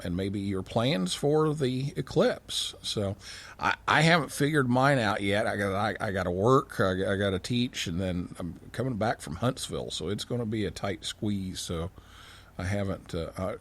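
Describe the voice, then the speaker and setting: A man hosting a call-in radio show in a casual, halting, informal way. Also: sits low, a bit bright. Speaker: radio show host, science teacher.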